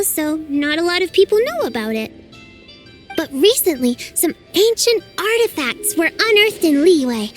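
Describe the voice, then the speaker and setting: An earnest young girl apprentice, sweet and reassuring. Her voice is very high and lively, bright with patient explaining. A cheerful game character eager to share what she knows. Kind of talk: game voice acting.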